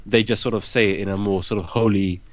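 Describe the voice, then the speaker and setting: A man raised in the UK, born in Pakistan, hosting a casual interview and telling animated anecdotes that act out what others said. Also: lowish voice, quick pace, highs cut off. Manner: animated storyteller, acts out quoted voices. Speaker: podcast host.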